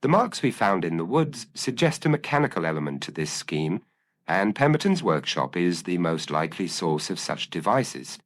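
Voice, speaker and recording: A man voicing a brilliant, commanding male detective with calm, incisive, formal deductions in an audio mystery story. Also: low-pitched, normal speed. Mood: grave, decisive. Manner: crisp, formal, declarative deductions.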